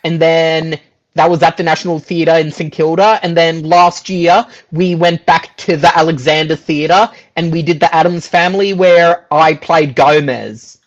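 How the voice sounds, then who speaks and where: A man's voice: fairly high pitch, steady tone, unhurried. A man chatting casually as an interview guest about his own experiences. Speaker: guest, musical theatre performer. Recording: dull, lo-fi sound.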